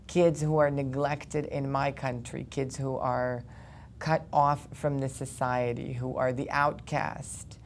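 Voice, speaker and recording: A young man from Ukraine, earnestly telling his personal story as an interview guest, with quick animated bursts. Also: fairly high pitch, a little hiss or hum. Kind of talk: personal testimony in a studio interview.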